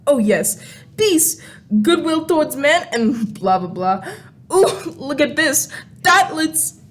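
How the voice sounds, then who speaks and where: A woman's voice: high voice, very animated, slow, full character voice. A woman playing a brash, sarcastic, impatient alien crayon in a comic radio play. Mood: flippant. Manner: flippant, scornful. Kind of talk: radio play comedy acting. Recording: faint background noise.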